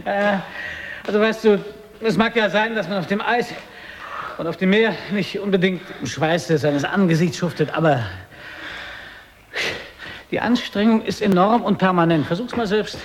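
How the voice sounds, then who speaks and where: Actor as an anguished high-voiced polar adventurer, heavy noise. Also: male voice, moderate pace, pauses often, lightly acted. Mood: defensive. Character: lone male polar adventurer, weary, brooding, increasingly anxious. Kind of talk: radio drama acting. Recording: very noisy recording.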